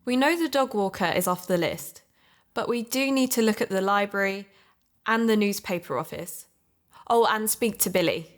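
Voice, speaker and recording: A woman's voice: mid-range voice, normal speed, close to an everyday voice. Woman playing a methodical amateur investigator. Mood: chatty, keen. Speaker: podcast co-host in an audio drama. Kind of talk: conversational audio drama acting.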